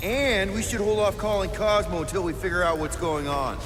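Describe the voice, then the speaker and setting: A man's voice: sits high, steady tone, fairly quick, close to an everyday voice. Guy doing a laid-back jokey young space outlaw leader, kinda flustered, video game, reverby w music. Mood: casual, subdued. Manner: casual, hesitant, joking. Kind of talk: video game cutscene acting. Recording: hall reverb, music behind.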